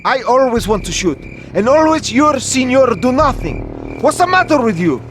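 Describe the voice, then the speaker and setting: An actor playing an excitable, trigger-happy young Italian soldier in a war game, indignant and exclamatory, with an Italian accent. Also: male voice, extremely high pitch, moderate pace, noisy background. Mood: agitated. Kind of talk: dramatic war game cutscene acting.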